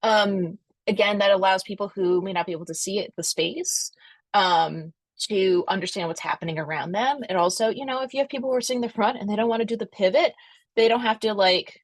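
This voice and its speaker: A woman's voice: medium pitch, fairly quick, dry recording. A young adult woman hosting a video-call webinar and giving conversational advice in loose asides. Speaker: webinar host from a museum association. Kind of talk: casual instructional talk.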